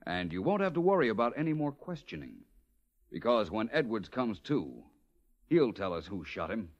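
An actor playing a suave, probing gentleman detective, polished and deliberate, in a 1940s radio drama. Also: on the low side, sing-song. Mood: brisk, pointed. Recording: dull old radio recording.

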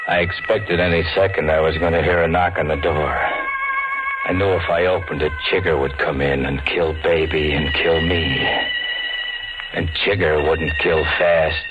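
An actor playing a jumpy, hard-boiled hoodlum in a 1940s radio thriller, talking tough, terse slang. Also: male voice, very deep voice, steady tone, moderate pace. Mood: haunted, grim. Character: jumpy hard-boiled hoodlum dancer hiding out, narrating his tale. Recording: dull old radio broadcast with music.

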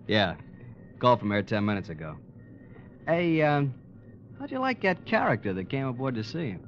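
An actor playing a breezy, joking ship's radio operator in an old radio drama, casual and bantering. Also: male voice, medium pitch, wildly swooping pitch, normal speed. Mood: wisecracking. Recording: muffled vintage radio recording, faint noise.

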